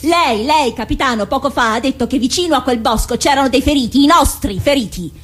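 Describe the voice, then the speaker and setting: Guerriera dura e sarcastica. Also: female voice, sits high, a little fast, a bit bright. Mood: impatient. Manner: challenging, impatient, mocking rhetorical questions. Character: hard, pragmatic, sarcastic woman comrade-in-arms of a captain. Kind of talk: theatrical radio drama acting.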